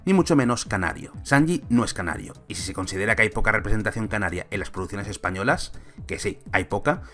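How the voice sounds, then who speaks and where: A man's voice: fairly low pitch, animated, fast, fairly bright tone, faint music behind. A man in his thirties from Spain, a film commentator giving an argumentative, sarcastic opinion vlog on a close mic.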